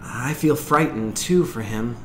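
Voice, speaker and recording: Man as a gentle mother, pitched up. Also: unhurried, slight background noise, close to an everyday voice. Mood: anxious. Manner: soft-hearted, sympathetic, earnest. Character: gentle, caring mother of a family.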